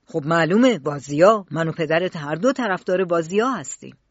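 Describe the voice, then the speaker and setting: A woman voicing a harsh, gruff grown man who runs a factory, speaking bluntly and loudly. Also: fairly low pitch, lively intonation, no echo, pitched below the performer's own voice. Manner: blunt, scolding, loud. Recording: dull lo-fi dry recording.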